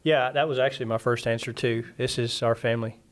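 A man's voice: medium pitch, fairly even intonation. A man with a Southern American accent, a missionary pastor earnestly telling his church story in an interview. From Southern United States. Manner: earnest, quick-talking, frequent restarts.

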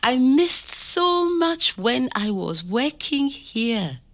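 Slow, expressive older woman storyteller. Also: sits high. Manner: slow, expressive, gently questioning. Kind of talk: oral history storytelling to a child.

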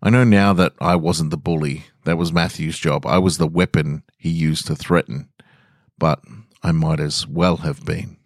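A man's voice: rumbling low, steady tone, moderate pace, dry recording, studio quality. An Australian man hosting a podcast and reading stories aloud. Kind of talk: casual podcast intro and story reading.

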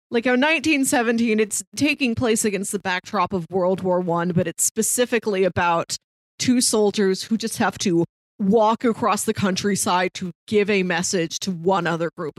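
A woman's voice: medium pitch, no echo. A woman chatting casually and enthusiastically about films as a podcast co-host. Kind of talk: casual film discussion chat.

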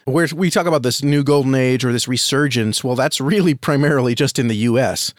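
A man's voice: mid-range voice, a little fast, dry recording, studio quality. An American man giving earnest, enthusiastic conversational answers as a guest in an interview. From United States. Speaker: podcast guest, audio drama reviewer and podcaster.

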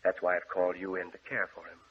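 An actor playing a grave, controlling gentleman in a 1940s radio horror drama, speaking with formal, solemn command and a husky voice. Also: low-pitched, completely flat delivery, fast talker. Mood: solemn, sombre. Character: grave, controlling gentleman guarding his deranged brother. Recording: tinny, band-limited old radio recording.

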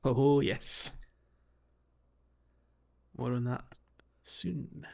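A man's voice: mid-range voice, fairly even intonation, drawn-out words, creaky, a little mellow. Lo-fi male podcast co-host, casual. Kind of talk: casual gaming podcast chat.

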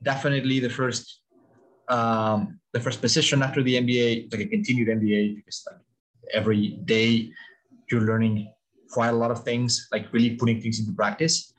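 A man in his thirties from Mexico, speaking English as a second language after Spanish. He talks casually over a video call, in a relaxed way with lots of "like" and repeated words. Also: mid-range voice, normal speed, rough recording. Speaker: alumni guest. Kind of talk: casual chat.

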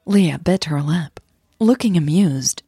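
Low, very husky, slow Dutch woman narrator. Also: expressive, dark tone, dry recording, clean studio sound. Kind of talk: scripted fiction narration, romance audio drama.

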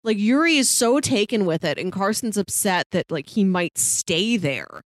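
A woman's voice: mid-range voice, animated, moderate pace, dry recording, studio quality. A woman co-hosting a podcast, casually talking through a TV series and animatedly retelling it with acted-out quotes. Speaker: podcast co-host.

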